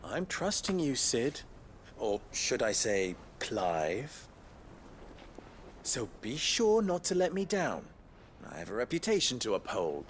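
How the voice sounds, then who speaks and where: A swaggering young merchant for a game cutscene. His pitch swoops up and down as he taunts, unhurried and thoroughly pleased with himself. He sounds sly and theatrical. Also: wildly swooping pitch, a little hiss or hum. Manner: smug, taunting, theatrical delivery. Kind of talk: video game cutscene acting.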